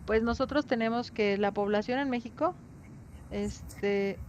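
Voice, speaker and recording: A woman's voice: a little high, a little slow, slight background noise. A woman with a Mexican accent teaching an online class in an informal, conversational way, often checking in with tag questions. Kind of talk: informal lecture with questions to students. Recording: dull lo-fi online class audio.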